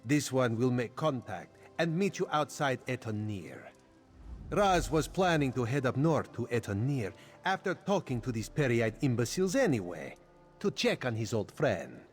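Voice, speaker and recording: A wily feline spy, played by a man in a fantasy game, with music underneath. The delivery is theatrical and wry, swooping expressively in pitch as he speaks of himself in the third person. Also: sing-song, moderate pace, lightly acted. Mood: worried, resolute. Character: wily male cat-man spy, wry, loyal, dramatic. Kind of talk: video game character dialogue.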